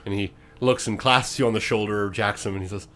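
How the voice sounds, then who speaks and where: A man narrating a tabletop game dramatically as game master, with deliberate, suspenseful phrasing. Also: lowish voice, fairly even intonation, fairly bright tone. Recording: clear background noise and ambience.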